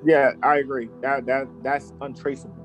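A man's voice: mid-range voice, fairly even intonation, normal speed, faint music behind, low-quality recording. A man co-hosting a podcast, telling a true crime story in casual chat and laughing often. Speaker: podcast co-host.

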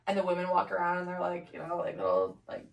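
A young woman co-hosting a podcast from her home office, hoarse from laryngitis, chatting casually with many fillers. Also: lowish voice, sing-song, fast, muffled recording. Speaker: podcast co-host. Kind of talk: casual chat. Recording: echoey room, distant mic.

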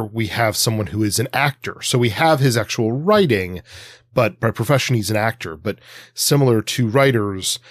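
A man's voice: on the low side, no echo. A man co-hosting a podcast in casual analytical discussion, thinking aloud and rephrasing as he goes. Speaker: podcast co-host.

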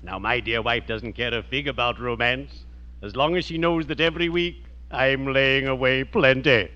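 An actor playing a friendly, morbidly genteel undertaker in old radio comedy, speaking formally and solemnly with drawn-out words and macabre puns. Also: male voice, medium pitch, natural voice. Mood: dry, matter-of-fact. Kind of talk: 1940s radio comedy acting. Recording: dull, noisy old radio recording.

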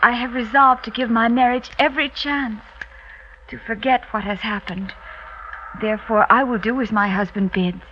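An actress playing a composed, resolute young French wife with measured, formal delivery in a 1950s radio drama. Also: female voice, on the high side, steady tone, lightly acted. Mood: resolved. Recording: muffled vintage radio recording, faint hiss.

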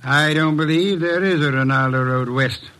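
A man's voice: a little high, moderate pace, sharp tone. An actor playing a grumpy, pedantic genius detective, a large lordly man, in old radio drama style with formal, irritable diction. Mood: pedantic, aloof. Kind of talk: 1940s-50s radio drama acting. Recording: old radio broadcast with music.

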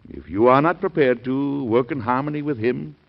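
An actor playing a forceful, commanding president in a 1940s radio drama, on a dull old broadcast recording. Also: male voice, medium pitch, normal speed, creaky. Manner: commanding, declamatory. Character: stern forceful male American president, middle-aged. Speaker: lead actor, also narrator.

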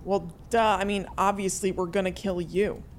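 A woman's voice: mid-range voice, fairly even intonation, normal speed, a little hiss or hum, natural voice. An actress playing a breezy young woman in an audio drama who poses as a flippant killer.

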